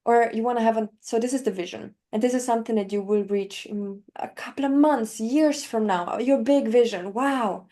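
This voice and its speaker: A woman's voice: fairly high pitch, moderate pace, hardly any pauses, mellow. A young woman in her twenties whose first language is German, coaching on language learning and eagerly walking through her method step by step in an interview. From Northern Italy. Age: 20s. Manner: enthusiastic, explanatory, walks through steps with examples. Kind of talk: interview conversation.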